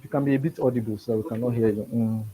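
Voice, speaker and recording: A man's voice: mid-range voice, fairly even intonation, quick pace, dark tone, a little hiss or hum, rough recording. A man with a Nigerian accent who stammers, repeating words as he teaches a live business seminar. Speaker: business trainer. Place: seminar room with a live audience.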